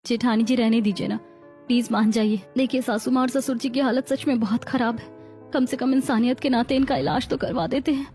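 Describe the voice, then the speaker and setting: A woman playing a distressed, mistreated young daughter-in-law, pleading plaintively in a melodramatic animated story. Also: on the high side, a little fast, faint music behind, natural voice.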